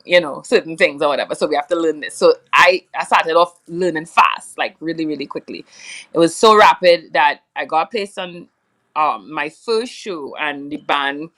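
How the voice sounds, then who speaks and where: A woman's voice: deep voice, a bit bright. A young woman from Trinidad with a Trinidadian accent, chatting casually as an interview guest, rambling with lots of fillers. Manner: rambling, many fillers, laughs often. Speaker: soca singer, guest.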